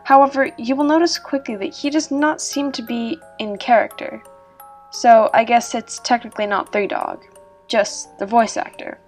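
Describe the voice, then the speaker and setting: A woman's voice: fairly high pitch, fairly even intonation, normal speed, a little mellow. Woman narrating a story over music. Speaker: narrator reading an online horror story about a video game. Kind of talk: scripted narration.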